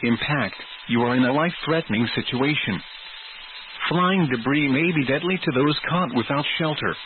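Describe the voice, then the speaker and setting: Male weather radio announcer, heavy static. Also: mid-range voice. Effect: weather radio broadcast. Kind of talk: scripted emergency weather bulletin. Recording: noisy, narrow phone-like radio signal.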